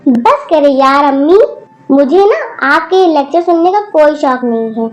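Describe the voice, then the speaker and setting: A woman's voice: sits high, sing-song, measured pace, lo-fi sound, faint music behind. A woman voicing a spoiled college-age daughter who snaps and whines rudely at her mother. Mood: snapping. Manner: whiny, snapping, rude.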